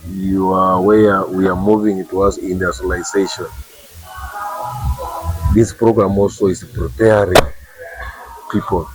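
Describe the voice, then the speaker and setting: A man with a Ugandan English accent and a very deep voice speaks as an official raising awareness. He explains point by point, repeating words and checking in with a quick "eh?". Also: little pitch movement, soft background music, rough recording. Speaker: government official. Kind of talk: awareness talk to workers.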